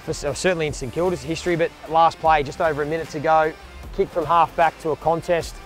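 Brief: Australian male host in his 30s, brisk and chatty, slightly higher voice, lapel mic outdoors in a stadium, music under. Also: steady tone. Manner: chatty, quick. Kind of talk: casual interview. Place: outdoors in an empty stadium stand.

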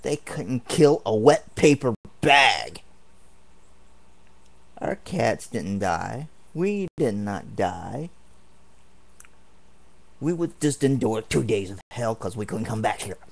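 A man's voice: medium pitch, sing-song, takes it slowly, vocal fry, long pauses. A man ranting indignantly and at length in a home video, repeating himself as he rambles. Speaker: vlogger. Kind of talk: angry home video rant. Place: home apartment.

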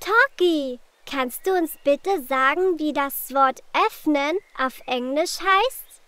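A woman voicing a cheerful, helpful little girl in a children's cartoon, encouraging young viewers clearly. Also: sits high, takes it slowly, a bit bright. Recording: music under the voice. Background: children's music.

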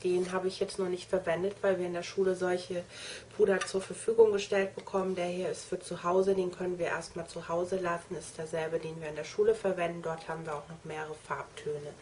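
A woman's voice: fairly low pitch, level tone, a little fast, darkish tone, slight background noise. A young woman chatting enthusiastically to her viewers in a casual show-and-tell vlog, addressing them directly. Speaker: make-up artist trainee showing her kit.

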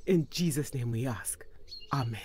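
A woman's voice: a bass voice, lively intonation, normal speed, a little mellow. Devout, welcoming mother, faint background noise. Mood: prayerful. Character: devout, welcoming middle-aged mother of the bride. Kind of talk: radio drama acting.

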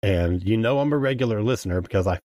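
A man's voice: on the low side, lively intonation, quick pace, dark tone, no room sound, clean studio sound. A man chatting casually as a podcast guest, informal and self-deprecating. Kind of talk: casual chat.

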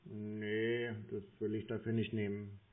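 A man's voice: on the low side, little pitch movement, measured pace. A man talking on the phone as a customer, hesitant and plodding, asking questions as he goes. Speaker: scam-baiter posing as a customer. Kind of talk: phone conversation. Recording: muffled narrow phone line.

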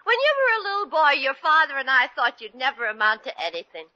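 A woman's voice: high voice, sing-song, quick pace, bright, forceful tone, dry recording, muffled recording, full character voice. An actress playing a crooked, bossy, doting elderly mother in 1940s radio comedy, with colloquial, comically exaggerated delivery on an old recording.

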